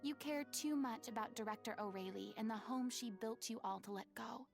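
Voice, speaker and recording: Commanding young woman, high voice, stern, caring, theatrical game dialogue, music behind. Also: gravelly. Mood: firm, challenging. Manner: scolding, theatrical, deliberate. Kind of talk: video game voice acting.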